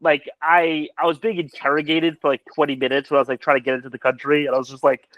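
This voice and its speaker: A man whose first language is Polish, telling animated travel stories in casual chat over a video call. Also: a little high, quick pace, no room sound, highs cut off. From United States. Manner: animated storyteller, many restarts.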